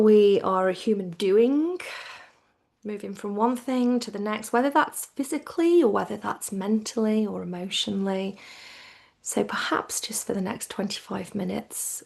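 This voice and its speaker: A woman teaching yoga nidra as a guided relaxation, soothing and hushed, with phrases that trail toward a whisper. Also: mid-range voice, moderate pace, creaky.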